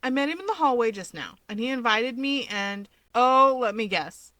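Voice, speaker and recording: A brash, sharp-voiced hero woman, as a female narrator plays her in an audio story. She is blunt and sardonic, teasing one moment and exasperated the next, with a dry, close sound. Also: on the high side, lively intonation, moderate pace, no room sound, pitched above the performer's own voice.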